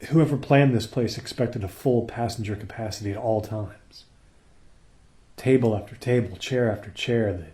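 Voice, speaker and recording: Man playing a nervy junior astronaut, mellow dark voice, halting and respectful, sounds lost, radio drama. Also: mid-range voice, close to an everyday voice. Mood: pensive, uneasy. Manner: halting, pensive, deferential. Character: unmoored, deferential male junior crewman on a space station. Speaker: actor in a radio play. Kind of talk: naturalistic radio play acting.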